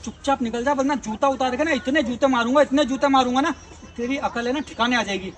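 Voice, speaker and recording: A man acts out a bullying male company employee in an amateur melodrama. His voice is very high and strained upward, full of taunts and threats. A faint outdoor noise sits behind it. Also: fast, distant mic. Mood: contemptuous. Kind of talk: amateur Hindi melodrama skit acting.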